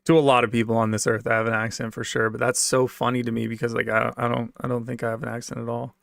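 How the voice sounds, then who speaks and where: A man's voice: mid-range voice, little pitch movement, fast talker, creaky, a bit bright, no echo, clean studio sound. An American man talking casually off the cuff as he answers viewers' comments, rambling a little and repeating his phrases. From United States, raised moving around in a military family.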